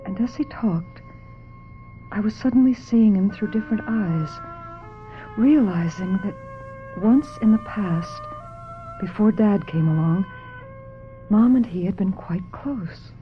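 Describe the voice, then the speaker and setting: A woman's voice: mid-range voice, measured pace, pauses often, lightly acted. A woman playing a naive, weary young actress who tells her troubled story earnestly in a radio mystery drama. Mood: wistful, reflective. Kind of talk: dramatic radio mystery acting with first-person narration. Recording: dull lo-fi radio drama, music behind.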